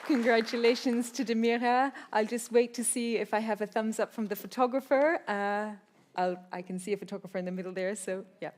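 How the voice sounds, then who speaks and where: A woman's voice: on the high side, steady tone, brisk, darkish tone. A woman hosting a live award ceremony, announcing delegations in an upbeat, chatty way with small laughs. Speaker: ceremony host. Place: large hall with audience. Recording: live event audio with faint crowd and noise.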